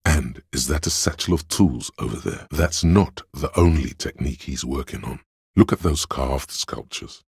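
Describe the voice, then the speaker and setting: A man voicing a detective's cool, cerebral inner voice of logic in a video game, measured, condescending and dryly analytical, with a gravelly, husky tone. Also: rumbling low, a little mellow, no room sound, studio quality, exaggerated voice. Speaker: voice actor. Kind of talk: scripted game narration.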